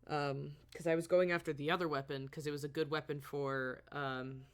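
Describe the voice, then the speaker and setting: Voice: mid-range voice, flat delivery, normal speed, clear, resonant tone. A streamer giving informal, off-the-cuff commentary while playing a video game. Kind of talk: casual game livestream commentary.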